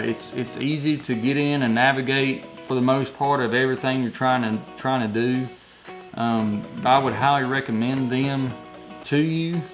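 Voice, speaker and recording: Fishing vlogger, folksy and rambly, mid-range voice, light music bed. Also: male voice, medium pitch, poor audio. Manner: rambling and folksy, lots of restarts. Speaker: fishing channel host reviewing radios. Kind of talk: casual product review vlog. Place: his workshop building.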